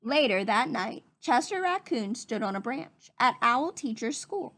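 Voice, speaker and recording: Female storybook reader for kids: very lively intonation, unhurried, explains things simply. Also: a little high. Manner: animated, simple explanatory asides to young listeners. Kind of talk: expressive read-aloud narration for children.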